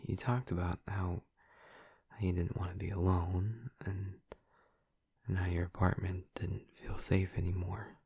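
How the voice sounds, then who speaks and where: Gentle, awkward male vampire roommate, acted by a man: deep, gravelly, soft-spoken and hesitant, intimate roleplay delivery up close. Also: flat delivery, no room sound, full character voice. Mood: awkward, sincere. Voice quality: soft, breathy, husky. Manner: hesitant, halting, tender. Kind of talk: intimate audio roleplay addressed to the listener. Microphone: close, dry microphone.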